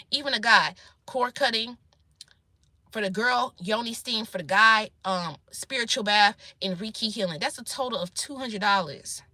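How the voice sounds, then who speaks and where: A woman with a squeaky voice giving blunt, profane life advice in a casual monologue to her viewers. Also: bright, forceful tone, dry recording. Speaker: self-employed vlogger giving life advice.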